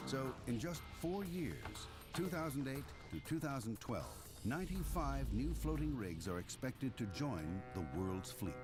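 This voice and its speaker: A man reading scripted documentary narration in a measured, dramatic way. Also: medium pitch. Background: background music.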